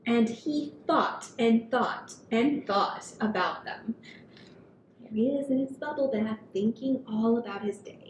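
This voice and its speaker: A woman in her thirties reading a picture book aloud to small children as a story hour host, with expressive, drawn-out phrasing. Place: small indoor room at a table. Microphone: headset. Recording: roomy echo, low rumbling noise.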